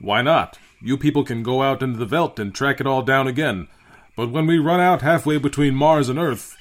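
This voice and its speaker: A man voicing a brash, gruff spaceship captain in a storybook reading, blustering and demanding. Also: medium pitch, moderate pace, pitched above the performer's own voice, lightly acted. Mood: taunting.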